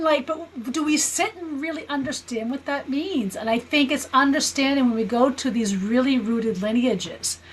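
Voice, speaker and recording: A woman's voice: fairly high pitch, slight background noise, low-quality recording. A woman in her fifties with an American accent, talking as a guest in a conversational interview, explaining earnestly and often checking for agreement. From United States. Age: 50s. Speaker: guest, spiritual healer and teacher.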